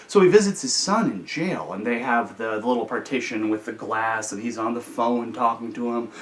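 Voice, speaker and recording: Man, American accent, medium pitch, measured explanatory storytelling, slightly far from the mic. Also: a little hiss or hum. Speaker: storyteller, host. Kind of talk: informal explanatory storytelling about personal experience. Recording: slightly distant from the microphone.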